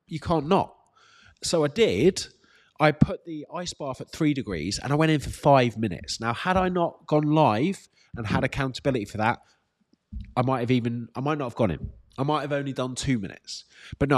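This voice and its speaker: A man's voice: mid-range voice, expressive, moderate pace. A British man with a slightly congested voice, working as a fitness coach, answering questions casually and giving direct, encouraging advice with emphatic repetition. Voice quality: slightly congested, bunged-up nose. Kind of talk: casual live Q&A, reading out questions and advising.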